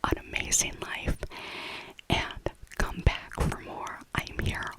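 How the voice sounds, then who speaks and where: A woman whispers softly in a breathy, voiceless hush, as in an ASMR video. She chats casually and gently, musing aloud and circling back to repeat little phrases as she goes. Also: slow pace. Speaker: ASMR creator narrating a shopping trip.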